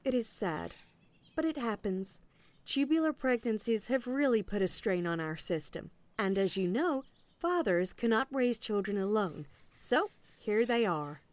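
A woman's voice: mid-range voice, animated, a little slow, a little mellow, no echo, close to an everyday voice. A woman acting in an audio play as an officious agent, politely relentless and salesy, with veiled sarcasm. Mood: confidential, matter-of-fact.

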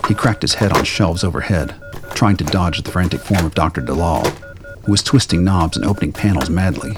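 Deep-voiced male narrator of audio drama. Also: fairly quick, over music.

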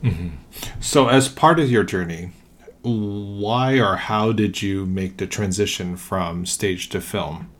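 Low-pitched male host, short and soft-spoken prompts. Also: slow. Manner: brief, soft backchannels. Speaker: interviewer. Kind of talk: casual interview questions.

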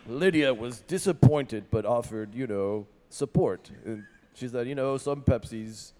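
A man doing improvised radio comedy, reading haltingly and breaking off flustered. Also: mid-range voice, very animated, darkish tone, in a large hall, a little hiss or hum.

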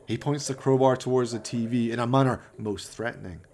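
A man's voice: medium pitch, brisk, darkish tone. A man narrating a comic horror radio play in a melodramatic, ominous storytelling manner.

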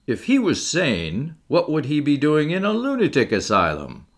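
A man's voice: on the high side, huge pitch swings, normal speed, pitched above the performer's own voice. A man voicing a big, cheerful but callous asylum keeper in an audiobook, with a working-class English accent and blunt manner. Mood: dismissive. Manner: blunt, colloquial.

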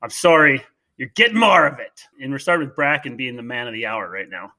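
A man's voice: mid-range voice, expressive, a little fast, pushed and bright. A man in his thirties with a Midwestern American accent, interviewing his co-host in a casual podcast, rambling with many fillers and restarts. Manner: rambling, hesitant, filler-heavy.

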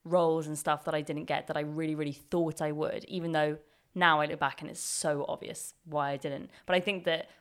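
A woman's voice: low-pitched, little pitch movement, fairly quick, clear, resonant tone. A woman in her twenties, an actor chatting casually as co-host, reflective and thoughtful. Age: 20s. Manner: reflective, frequent soft "mm-hmm" backchannels. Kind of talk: casual chat.

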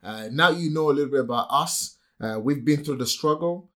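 A man's voice: fairly high pitch, brisk, fairly bright tone. A man from Nigeria whose first language is not English, co-hosting a podcast in an upbeat, motivational way with frequent fillers. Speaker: podcast co-host.

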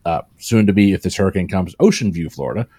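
A man's voice: low-pitched, fast, dark tone, husky, no echo. A man on a podcast panel chatting in a laid-back, drawling way. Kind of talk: casual podcast chat.

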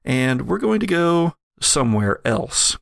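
Creaky-voiced man narrating a tabletop game. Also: a little high, big pitch swings, unhurried, no room sound, studio quality. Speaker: game master.

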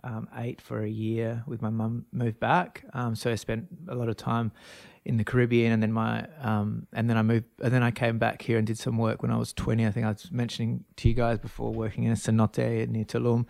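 A man's voice: medium pitch, completely flat delivery, brisk, vocal fry, few pauses, darkish tone, no room sound, studio quality. A man in his thirties with an Australian accent, a brand founder telling his story as a guest, full of fillers and restarts. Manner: rambling, many fillers and restarts. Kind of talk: casual interview talk.

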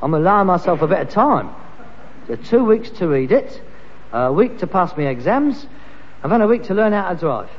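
High-voiced, pompous Cockney son. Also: male voice, sing-song, normal speed, exaggerated voice. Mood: self-important. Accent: London Cockney. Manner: nagging, pompous. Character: exasperated, self-important working-class London son with aspirations. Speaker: sitcom actor. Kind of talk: vintage British sitcom acting. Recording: dull, noisy, reverberant archive sitcom recording.

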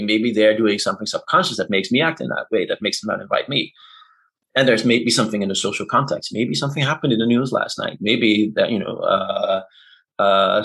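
A man's voice: on the low side, a bit bright, low-quality recording. A man, a non-native English speaker, being interviewed as an intercultural communication consultant and explaining ideas through examples and tag questions. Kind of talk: conversational interview.

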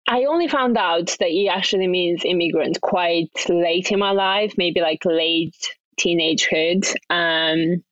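A woman's voice: lowish voice, measured pace, no room sound, muffled recording. A young woman from Bulgaria, a podcast guest chatting in English as a second language, upbeat and laughing often between phrases. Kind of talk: casual interview chat.

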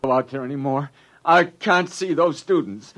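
Panicky, whining, high-voiced scientist. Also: male voice, normal speed, a bit bright. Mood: desperate. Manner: pleading, stammering, flustered. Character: panicky, sniveling male publicity-minded scientist. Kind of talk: 1950s radio drama acting.

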